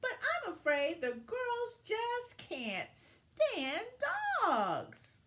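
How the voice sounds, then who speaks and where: A woman voicing a kindly, gentle cat owner from a storybook with exaggerated expression. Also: very high-pitched, very animated, drawn-out words, pitched above the performer's own voice, exaggerated voice. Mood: sympathetic, apologetic.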